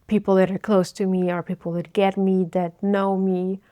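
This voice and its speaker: A woman in her thirties, originally from Bulgaria, giving a thoughtful personal life update and often pausing to search for words. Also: mid-range voice, little pitch movement, no room sound, lo-fi sound. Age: 30s. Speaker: vlogger.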